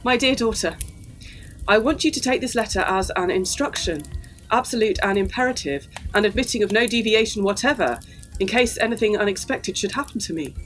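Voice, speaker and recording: A woman's voice: mid-range voice, animated, fairly quick, a bit bright, soft background music, close to an everyday voice, low-quality recording. A woman playing an anxious, well-bred young Edwardian daughter, polite and earnest, in a gothic audio drama. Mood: solemn reading.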